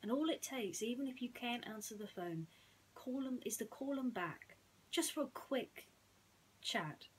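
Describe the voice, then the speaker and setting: A woman's voice: a little high, a little slow, a little hiss or hum, low-quality recording. A woman telling a bedtime story and its simple message to listeners as a storyteller. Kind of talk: bedtime story narration and gentle reflection.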